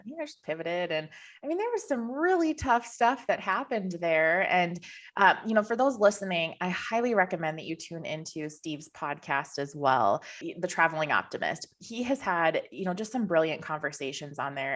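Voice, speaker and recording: A woman hosting a podcast, encouraging and conversational, often saying "you know" as she sets up her questions. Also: lowish voice. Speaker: podcast host. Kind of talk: interview host, conversational.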